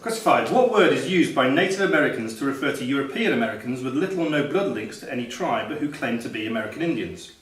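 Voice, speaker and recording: A man's voice: mid-range voice, expressive, fast, few pauses. A man acting as quiz master, reading questions aloud to players in a live pub-style quiz. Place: echoey function room at a live quiz. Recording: roomy echo, distant mic, background noise.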